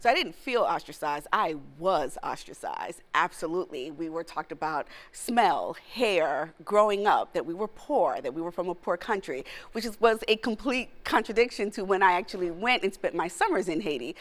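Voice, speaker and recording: A woman's voice: on the low side, expressive, moderate pace, hardly any pauses. A woman from South Florida speaking as a panel guest in emphatic, declarative sentences. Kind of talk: TV panel discussion.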